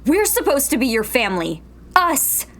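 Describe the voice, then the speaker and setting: A woman's voice: high-pitched, very animated, normal speed, a bit bright, exaggerated voice. A woman acting a blunt, challenging rebel in a sci-fi radio drama, pointed and insistent. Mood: confrontational.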